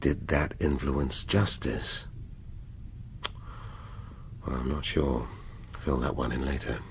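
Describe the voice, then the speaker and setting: An actor with an English accent playing a brooding middle-aged police detective in a radio drama, musing in clipped half-sentences. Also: male voice, very deep voice, fairly even intonation, slow, vocal fry, slight background noise, full character voice. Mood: musing, muttering.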